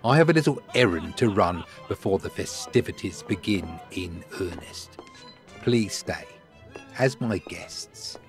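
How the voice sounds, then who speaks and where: A man's voice: sits low, animated, unhurried, over music. A man playing a sly, courteous crime lord's associate with veiled menace in a fantasy audio drama. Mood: suave, mocking. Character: smooth, sly male old friend serving a crime lord.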